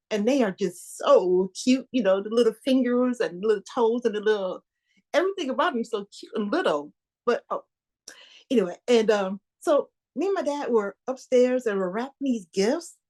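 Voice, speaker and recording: A woman's voice: mid-range voice, a little mellow, natural voice. An actress performing a stage monologue as an anxious, newly engaged young woman, talking in a rambling, hesitant, confiding way.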